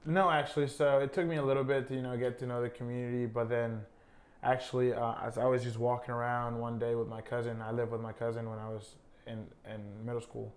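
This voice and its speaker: A young college soccer player from Honduras, a native Spanish speaker, talking casually in an interview with many fillers. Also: male voice, medium pitch, fairly quick. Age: young adult. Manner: relaxed, many fillers like "I mean" and "uh".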